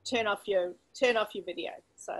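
A woman's voice: medium pitch, a little fast, fairly bright tone, poor audio. A woman in her forties hosting an online event, giving informal practical instructions. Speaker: host. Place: indoor room at home. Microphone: computer mic on video call.

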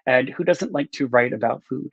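A man's voice: mid-range voice, normal speed, vocal fry, breathy edge, dry recording. A middle-aged man giving casual, rambling interview answers with self-deprecating asides over a video call. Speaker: author, interview guest. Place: indoor room with bookshelves, on a video call. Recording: slightly dull video-call audio.

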